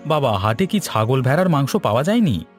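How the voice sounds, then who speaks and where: A man's voice: medium pitch, very animated, fairly quick, faint music behind, natural voice. A man voicing a stingy, fussy, complaining eldest son-in-law in a Bengali cartoon story.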